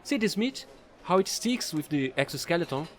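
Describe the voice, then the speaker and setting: A man playing a chatty, excitable fallen alien angel who trades in space, gushing and rambling in an audio roleplay. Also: on the high side, normal speed. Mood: eager, friendly. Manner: bubbly, gushing, rambling, apologetic.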